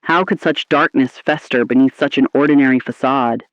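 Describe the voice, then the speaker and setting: A man playing an ominous, appalled 1950s radio anchor, delivering scripted lines in a grave, deliberate, theatrical style. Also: medium pitch, fairly even intonation, dry recording, highs cut off, natural voice. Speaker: radio host.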